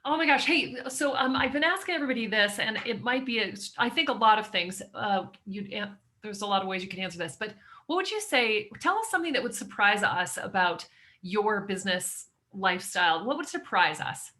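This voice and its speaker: A woman hosting a casual interview, enthusiastic and quick to react with exclamations. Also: medium pitch, big pitch swings, pushed and bright. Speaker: interview host.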